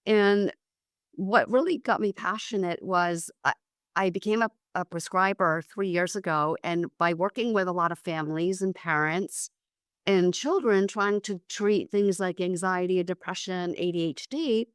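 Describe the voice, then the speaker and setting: A middle-aged woman with a hoarse voice from a cold, talking casually as an interview guest, often restarting her sentences. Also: lowish voice, normal speed, no echo, clean studio sound. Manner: conversational, with frequent restarts and fillers. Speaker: guest, psychiatric nurse practitioner.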